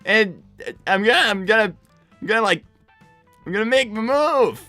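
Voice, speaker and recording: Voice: medium pitch, expressive, normal speed, loud music behind the voice, close to an everyday voice. A performer voicing a young boy fox, an earnest, awkward and exasperated older brother who stammers when flustered, in improvised livestream roleplay. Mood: nervous, awkward.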